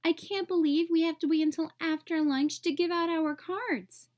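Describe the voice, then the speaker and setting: Young boy turtle, storybook female reader, high-pitched, childlike, sensitive, heart on his sleeve. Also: fairly even intonation, normal speed, darkish tone. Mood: upset.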